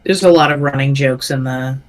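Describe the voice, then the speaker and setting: A man's voice: mid-range voice, fairly quick. A man giving casual reactions and remarks during a group reading session.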